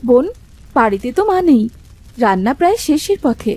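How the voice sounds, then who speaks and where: A woman voicing a gentle, protective elder sister in a cartoon, soothing and caring in manner. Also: fairly high pitch, big pitch swings, brisk, no echo. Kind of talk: Bengali cartoon voice acting.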